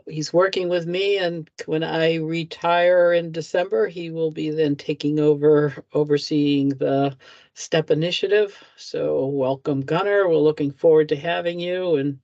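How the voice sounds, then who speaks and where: An older man with a gravelly voice introduces colleagues informally in an online meeting. The sound is dry and a bit muffled, as on a video call. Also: fairly high pitch, darkish tone, dry recording. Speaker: senior government official. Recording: dull, lo-fi video call audio.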